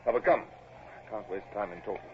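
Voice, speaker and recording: An actor playing a brisk, wry British naval captain in an old radio drama. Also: male voice, lowish voice, lightly acted. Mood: bitter, weary. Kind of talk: old radio drama acting and first-person narration. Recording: muffled, noisy vintage radio recording.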